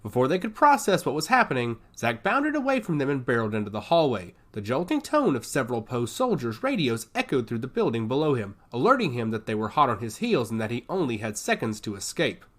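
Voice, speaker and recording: Animated male narrator with wide-swinging pitch. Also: fairly quick, hardly any pauses. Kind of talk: scripted dramatic audiobook narration of science fiction action.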